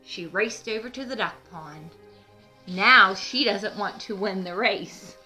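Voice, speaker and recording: A woman's voice: medium pitch, takes it slowly, pushed and bright, faint music behind, rough recording. A woman narrating a children's storybook expressively as read-aloud host, with teacherly explanatory asides.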